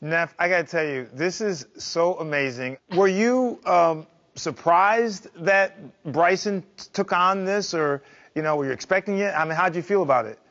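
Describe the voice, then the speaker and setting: Upbeat high-voiced male talk show host. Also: moderate pace, fairly bright tone, muffled recording. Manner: enthusiastic, encouraging. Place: TV studio set.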